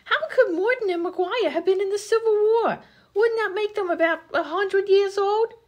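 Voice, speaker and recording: A woman's voice: very high voice, normal speed. A woman playing a dim, chatty 1920s vaudeville comedienne, slangy and easily indignant, in an old-time radio comedy sketch. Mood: naive, defensive. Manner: scatterbrained, slangy, literal-minded.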